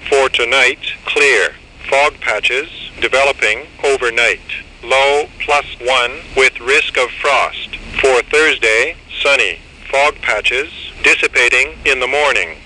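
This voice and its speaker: An automated male weather radio announcer with a very bright, sharp voice. He reads the forecast in slow, clipped segments. The noisy radio reception hisses faintly behind him. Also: mid-range voice.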